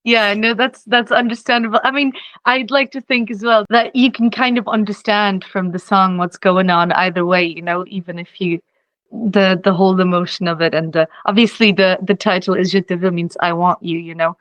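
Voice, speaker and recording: A woman's voice: mid-range voice, steady tone, normal speed, fairly bright tone, no room sound, low-quality recording. A young woman from Czechia, a singer-songwriter guest, talking casually in an interview, rambling with lots of "you know" and easy laughs.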